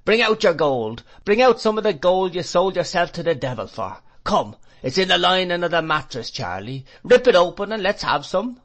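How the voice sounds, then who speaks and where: A man puts on a cheeky street boy from a storybook. The voice is raised high and calls out in a jeering, taunting way. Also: very animated, moderate pace, hardly any pauses, fairly bright tone, full character voice. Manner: jeering, calling out.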